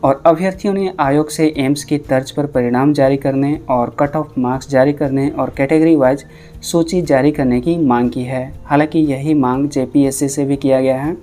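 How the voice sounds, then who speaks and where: A man's voice: mid-range voice, steady tone, normal speed, dark tone, soft background music. A man with an Indian accent narrating news updates as a channel host, repeating and rephrasing points to explain them.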